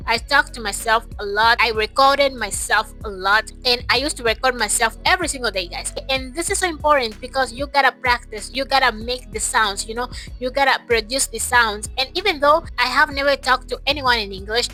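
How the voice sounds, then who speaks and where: A woman speaks in a high, very bright voice. She talks casually to her viewers in a motivational, emphatic way, often repeating phrases to stress them. Music plays softly behind her. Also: brisk. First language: Portuguese. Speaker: English-learning YouTuber. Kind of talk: casual motivational talk to camera.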